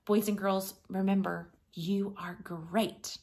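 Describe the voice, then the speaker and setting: A woman in her thirties reading a picture book to children like a schoolteacher, gently and expressively, softening to a breathy hush at line ends. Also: mid-range voice, little pitch movement, slow pace. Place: small living room at home.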